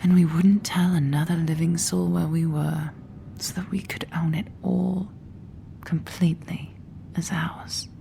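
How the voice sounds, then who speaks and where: Low, hushed, gravelly immortal queen. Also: little pitch movement, measured pace, a little hiss or hum. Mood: tender, intimate. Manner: intimate, hushed, often slipping into whisper. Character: immortal woman cult leader, tender, weary, resolute lover. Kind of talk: full-cast sci-fi audio drama acting.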